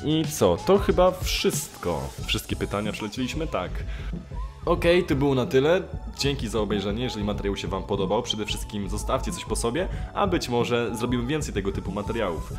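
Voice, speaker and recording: A man's voice: medium pitch, animated, fairly quick. A young man chatting casually in a vlog, hesitant and often correcting himself, speaking close to a desktop microphone. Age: young adult. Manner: hesitant, self-correcting, informal with occasional swearing. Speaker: YouTuber and amateur dubbing voice actor answering viewer questions. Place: small room at home, indoors. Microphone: desktop condenser mic with pop filter, close. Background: music.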